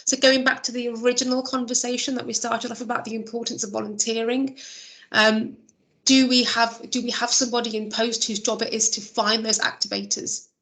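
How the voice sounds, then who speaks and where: A woman's voice: fairly high pitch, level tone, a little fast, a bit bright, low-quality recording. Woman chairing a video meeting. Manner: chatty, thinks aloud with many restarts and fillers. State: losing her voice. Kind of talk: online meeting discussion. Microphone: video call.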